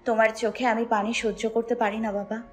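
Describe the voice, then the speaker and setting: A woman playing a devoted, soft-hearted young daughter in an audio story drama. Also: fairly high pitch, level tone, fairly quick, faint music behind, natural voice. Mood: tearful, pleading. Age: young adult.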